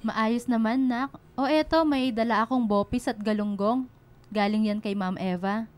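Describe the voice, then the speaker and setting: A woman's voice: fairly high pitch, moderate pace, darkish tone, clean tone, natural voice. An actress playing a stern but caring working mother in a radio drama, with a Filipino accent and a scolding, questioning way of talking. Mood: warm, tired. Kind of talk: Tagalog radio drama acting.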